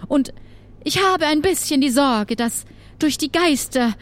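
A woman voicing a troubled, secretive witch who trades in dark goods, speaking in an earnest, halting, pleading way in an improvised audio adventure. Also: high voice, expressive. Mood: troubled, suspicious.

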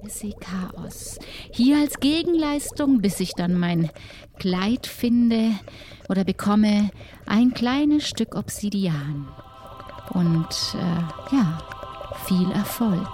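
A woman playing an eerie forest hut dweller in an audio role-play, measured and quietly menacing. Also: mid-range voice, animated, faint music behind. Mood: businesslike.

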